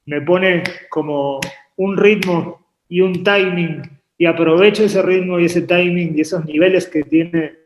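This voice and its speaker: A man from Uruguay raised in Argentina, talking about his work as a painter on a video call, often pausing and repeating words as he thinks. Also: high voice, fairly even intonation, lo-fi sound, a little hiss or hum. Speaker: painter and artist guest. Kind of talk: relaxed interview conversation. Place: home painting studio. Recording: echoey room on a video call.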